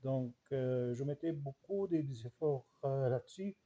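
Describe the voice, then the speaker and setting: A man with an Arabic accent and an Arabic dialect as his first language reflects informally, haltingly searching for words and repeating himself. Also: mid-range voice, dark tone, lo-fi sound. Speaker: language researcher and writer.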